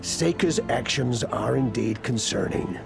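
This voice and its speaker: An actor playing a grave, formal fleet admiral in a video game cutscene, gravelly and measured in his authority. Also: mid-range voice. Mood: grave, resolute. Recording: music behind the voice.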